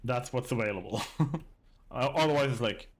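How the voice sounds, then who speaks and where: A man's voice: mid-range voice, normal speed, slightly rough. A man from Sweden with a Swedish accent, chatting casually on a game livestream, thinking aloud and reading chat. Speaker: streamer.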